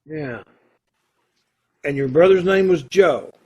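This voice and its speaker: A man acting a kindly, earnest condemned prisoner in an old-time radio play, with hesitant, folksy phrasing and a gravelly, husky voice. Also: on the high side, mellow, lo-fi sound. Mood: gentle, curious. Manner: hesitant, halting, folksy and informal.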